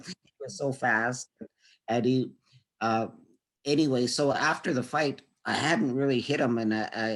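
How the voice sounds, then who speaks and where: A man, a former amateur boxer, speaking casually and often repeating words, with chuckles mixed into his speech. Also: lowish voice. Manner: stammering repeats, chuckles while talking. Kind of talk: casual conversation.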